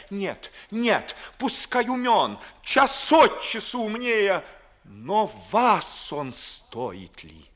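An actor playing a lovesick, sharp-witted young nobleman in a 1950s Russian verse radio play, with declamatory, theatrical delivery. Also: high voice, heavily acted. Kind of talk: 1950s Russian classical stage acting in verse.

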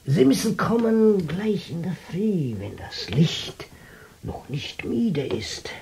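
An actor playing a whimsical old shopkeeper in a radio drama, speaking halting, murmured in a husky, creaky voice. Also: male voice, high-pitched, big pitch swings, darkish tone, slight background noise, heavily acted. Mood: wistful, murmuring. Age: older. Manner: halting, murmured phrases with repeated 'ja'. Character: whimsical old junk-shop keeper, sly and wistful.

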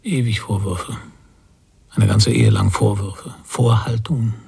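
A man's voice: on the low side, steady tone, unhurried, creaky, a little mellow, slightly rough, exaggerated voice. A man playing a bitter, guilt-ridden middle-aged father in a radio drama, thinking aloud in terse fragments. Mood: numb, brooding. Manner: terse, fragmented inner monologue. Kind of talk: German radio drama interior narration.

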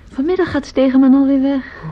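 An actress in a radio play as a polite, kindly lady of the house with a slightly husky voice. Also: high voice, fairly even intonation, brisk, natural voice. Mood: amused, chatty. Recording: dull, lo-fi old radio recording.

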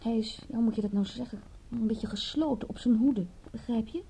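An actress playing a flippant, defensive young woman in a radio drama, with a soft, husky voice. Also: a little high, fairly quick, slight background noise, poor audio. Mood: teasing, evasive.

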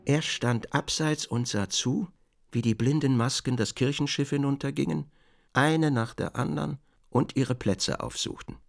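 An actor playing a measured literary narrator, delivering descriptive scripted narration in a radio drama. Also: male voice, mid-range voice, vocal fry. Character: measured male literary narrator observing closely.

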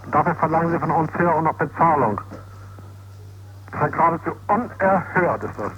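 An indignant man makes a telephone complaint in a blustering, repetitive way. His voice is rather high, and the old recording is muffled and clearly noisy. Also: animated, a little fast, a bit bright. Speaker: radio listener phoning to complain.

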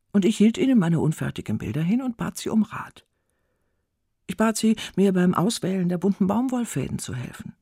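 A man's voice: medium pitch, creaky, warm tone, husky, no room sound, studio quality. A man voicing a scornful, wronged wife in a theatrical, sardonic first-person literary reading. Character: scornful, wronged wife recounting her humiliations. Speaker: actor reading a literary text.

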